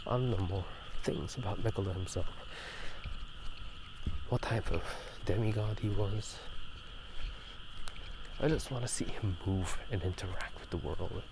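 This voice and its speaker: A man with a fairly low voice speaks in a soft, breathy half-whisper, like a host of casual gaming ASMR. He rambles and pauses as he thinks aloud. The recording is made outdoors at night, with clear ambient noise behind him. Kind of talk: casual ASMR game talk. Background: drainage pipe water, passing cars.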